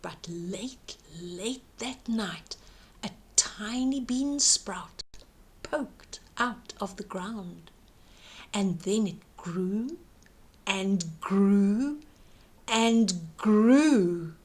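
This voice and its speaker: A woman reading a bedtime story aloud to children, dramatically, with emphatic drawn-out words and hushed suspense. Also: mid-range voice, breathy edge.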